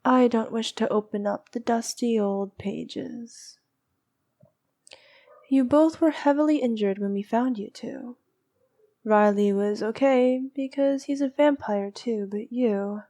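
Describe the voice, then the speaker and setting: A haughty vampire princess, acted by a woman in an ASMR roleplay, with a fairly high yet mellow voice. She speaks very slowly and softly, in a regal, intimate way. Her manner is teasing and noble, with a needy edge. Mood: composed, serious. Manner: soft, regal, teasing, sometimes dropping to a whisper.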